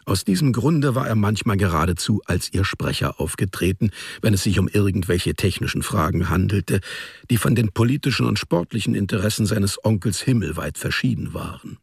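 A male narrator reads scripted literary prose for a radio drama. His voice is deep, creaky and husky. The sound is close and dry. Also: animated, a little fast, no room sound. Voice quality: gravelly, husky.

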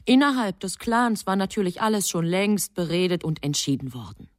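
A woman narrating a German radio drama in a sober, measured, literary manner. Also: fairly low pitch, very animated, no echo, studio quality.

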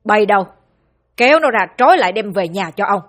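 A woman voicing a cruel, furious old village chief who shouts threats at his son, with a breathy voice and faint music behind. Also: mid-range voice, sing-song, fairly quick. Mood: commanding.

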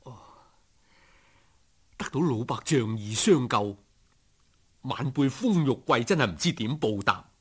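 A man's voice: medium pitch, lively intonation, unhurried, long pauses, slightly rough. A man playing a humble, earnest young scholar in a told folk story, speaking formally and respectfully. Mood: grateful. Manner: formal, respectful, self-deprecating. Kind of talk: storybook character acting.

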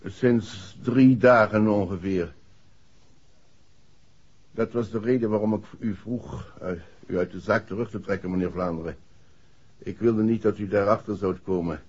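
A man's voice: lowish voice, unhurried, a little mellow, husky. An actor playing a grave, formal father of a grown daughter, speaking tersely in a 1960s Dutch radio play. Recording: dull, muffled vintage radio recording.

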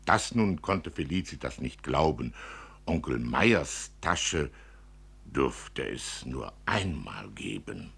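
A man reading a literary story as narrator, expressively, with dramatic and deliberate phrasing. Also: very deep voice, sing-song, creaky, a bit bright, slightly rough. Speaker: narrator, reader.

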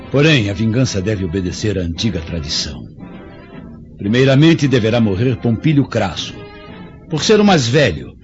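Ator como líder rebelde vingativo, voz bem variada. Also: male voice, on the low side, close to an everyday voice. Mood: cold, commanding. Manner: grandiloquent, theatrical declamation. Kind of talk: radio drama acting. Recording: dull radio-drama recording, faint music behind.

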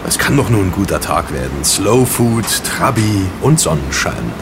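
An actor in an audio drama playing a grateful, eager young investigator with an enthusiastic manner. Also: male voice, low voice, moderate pace, over music. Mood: eager, cheerful. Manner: animated, enthusiastic. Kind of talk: German audio drama dialogue. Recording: clear street and traffic ambience. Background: outdoor city street with vehicles.

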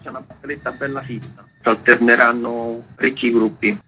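Male organiser, very sharp projected tone, informal interview chat, recorded amid ambient noise. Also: medium pitch, fairly even intonation, fairly quick. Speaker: event organiser, interview guest. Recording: clearly noisy location background.

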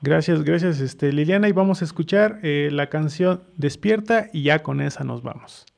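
A man's voice: on the high side, normal speed, vocal fry. A man with a Mexican accent hosting a casual radio interview. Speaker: radio show host.

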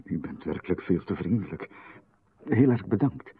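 A flustered, polite playwright. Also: male voice, low voice, lively intonation, normal speed, slightly rough, no echo. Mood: polite unease. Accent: Flemish. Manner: polite, hesitant, easily flustered. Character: polite, flustered male playwright, anxious to please. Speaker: radio drama actor. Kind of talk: 1990s radio drama acting. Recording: dry, narrow, phone-like radio broadcast.

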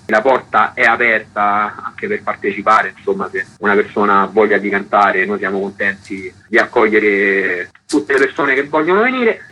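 Bright, forceful man being interviewed. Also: medium pitch, rough recording. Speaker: music workshop leader, radio guest. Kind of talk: informal radio interview.

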